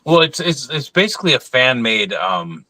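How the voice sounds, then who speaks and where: A man chatting casually on a panel, telling stories in a rambling, animated way with some swearing. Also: medium pitch, normal speed, no echo. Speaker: panel guest.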